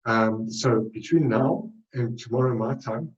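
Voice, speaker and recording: A man's voice: mid-range voice, a little slow, echoey room, distant mic, highs cut off. A man with a South African accent presenting a trading webinar, rambling loosely and correcting himself as he goes. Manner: loose, rambling delivery with frequent self-corrections.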